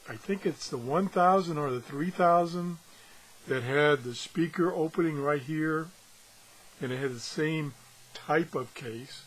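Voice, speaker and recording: A man talks through a product review in a husky voice that sits a bit high. His delivery is casual and halting, as if working things out aloud while he handles the item. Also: poor audio. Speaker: gadget reviewer and video host.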